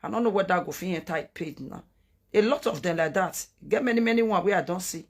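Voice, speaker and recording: A woman's voice: lowish voice, quick pace. A woman from Edo State in Nigeria with a Nigerian Pidgin accent, giving earnest, repetitive commentary and pleading with her viewers. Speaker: online commentator.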